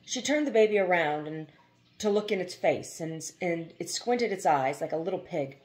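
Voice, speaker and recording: A woman's voice: lowish voice, animated, moderate pace, darkish tone. A woman narrating a novel as an audiobook reader, in a literary storytelling style.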